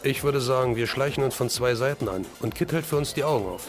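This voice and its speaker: A man's voice: medium pitch, fast talker, faint music behind, lightly acted. A man playing a determined, serious crime-fighting hero in an audio drama. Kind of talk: German fan audio drama acting.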